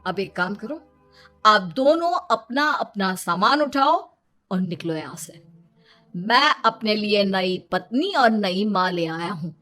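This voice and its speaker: A woman's voice: medium pitch, animated, slow, bright, forceful tone, soft background music, voice pushed down. A woman voicing a harried, exasperated young husband in a children's cartoon. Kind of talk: Hindi children's cartoon dubbing.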